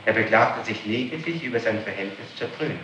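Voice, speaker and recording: A man's voice: fairly low pitch, animated, brisk, sharp tone, a little hiss or hum. Insistent male interrogator on echoey old tape. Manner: insistent, methodical. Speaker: public prosecutor interrogating a suspect. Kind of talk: formal interrogation, reading out witness testimony. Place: reverberant room, archive tape recording.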